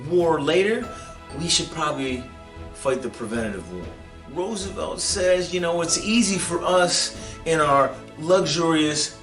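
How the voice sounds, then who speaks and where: A man's voice: a little high, a bit bright. An American man giving an informal history lesson as a video host, in a colloquial, emphatic, rhetorical way. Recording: roomy echo with music behind. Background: background music.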